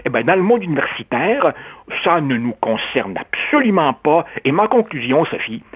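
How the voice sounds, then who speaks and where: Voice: medium pitch, very animated, normal speed, slightly rough. A speaker, arguing a point in a radio discussion, with emphatic rising stresses. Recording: dull lo-fi radio sound.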